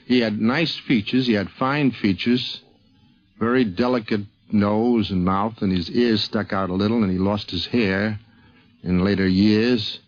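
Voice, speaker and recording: An older man giving an informal, plain-spoken, slightly halting reminiscence on 1950s radio. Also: fairly low pitch, lo-fi sound. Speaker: interviewee, cartoonist. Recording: 1950s radio recording, dulled sound.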